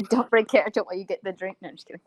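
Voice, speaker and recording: A woman hosts a casual podcast chat with quick, bantering delivery. Her pitch sits in the middle, and the recording is dry with hardly any room sound. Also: slightly rough, no room sound, low-quality recording. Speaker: podcast interviewer.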